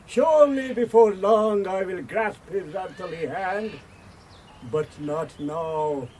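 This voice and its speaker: Very slow, high-voiced serene guru. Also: male voice, a little mellow, slight background noise. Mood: serene, pious. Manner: solemn, beatific, measured. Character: serene, unctuous Indian guru, self-styled holy man. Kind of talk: British radio comedy drama acting.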